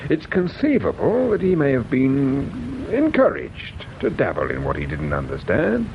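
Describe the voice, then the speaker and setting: An actor playing a measured, dryly courteous English police inspector in a radio crime drama, questioning in a deliberate, understated manner. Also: male voice, medium pitch, wildly swooping pitch, creaky, lo-fi sound, slight background noise, exaggerated voice. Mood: methodical.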